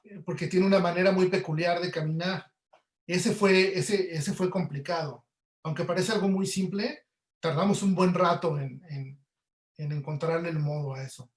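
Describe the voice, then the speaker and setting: Echoey, higher-voiced Mexican man. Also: fairly even intonation, moderate pace. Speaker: audio producer, interview guest. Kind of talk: casual webinar interview answers. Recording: roomy, echoey sound.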